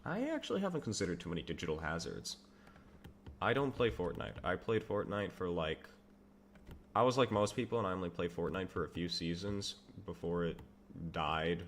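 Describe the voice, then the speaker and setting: A man's voice: deep voice, lively intonation, moderate pace, a little hiss or hum. A young man chatting casually on a live stream while he works, rambling through viewer questions with self-amused asides. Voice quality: says he has a loud voice. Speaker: game developer streamer.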